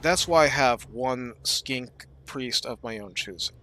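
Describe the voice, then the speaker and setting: A man giving casual gameplay commentary, explaining his strategy thoughtfully and often pausing with fillers. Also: medium pitch, pushed and bright, rough recording.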